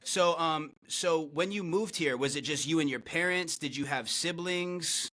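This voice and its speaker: Florida guy hosting a podcast, higher bright voice, upbeat and friendly. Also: a little slow. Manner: upbeat, friendly, says "man" a lot. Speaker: podcast host. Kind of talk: casual interview chat.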